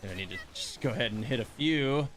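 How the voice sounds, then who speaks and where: A man's voice: mid-range voice, fairly quick, rough recording. A man livestreaming a game, giving casual running commentary and grumbling with mild swearing.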